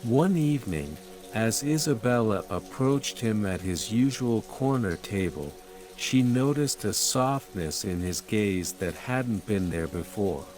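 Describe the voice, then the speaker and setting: Low, very slow male bedtime narrator. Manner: calm, measured, unhurried storytelling. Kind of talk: slow, soothing scripted narration of a sleep story. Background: calm music and rain sounds.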